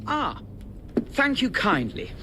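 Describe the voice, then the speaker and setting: Lilting, cheeky London rogue. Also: male voice, very high voice, huge pitch swings, slow, very noisy, exaggerated voice. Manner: jaunty, obsequious. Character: cheeky, flattering working-class London rogue, male. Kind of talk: video game character acting.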